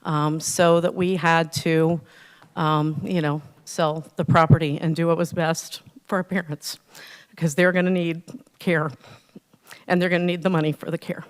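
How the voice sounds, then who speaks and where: A woman in her fifties from Ohio giving halting, emotional public comment at a council meeting, with many pauses and ums. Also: low-pitched, level tone, clear, resonant tone. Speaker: resident speaking at public hearing. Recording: reverberant council chamber.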